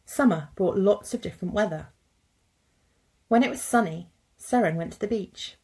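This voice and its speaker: Casting: female teacher, thirties, children's story narrator, mid-pitched, husky, deliberate phrasing. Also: darkish tone. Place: indoors at a computer.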